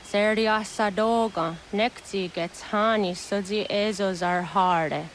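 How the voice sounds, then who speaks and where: Actress as a grave elder woman, unhurried delivery, mid pitch, game cutscene, faint nature noise behind. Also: close to an everyday voice. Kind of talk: scripted video game cutscene acting. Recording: faint outdoor nature ambience.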